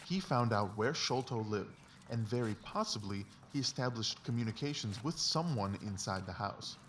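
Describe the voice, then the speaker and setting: A man's voice: fairly low pitch, animated, normal speed, fairly bright tone. A man playing a confident, analytical Victorian detective who explains things in a precise, emphatic, didactic way, as in a dramatised audiobook. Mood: intent reasoning. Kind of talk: dramatised literary audiobook dialogue. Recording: distant mic, faint background noise.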